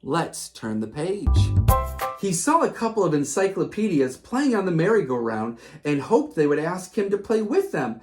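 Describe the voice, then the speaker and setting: Female storyteller for a kids' read-aloud, very lively, swooping intonation. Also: on the low side, normal speed, over music. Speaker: children's storybook reader. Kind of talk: animated read-aloud narration for children.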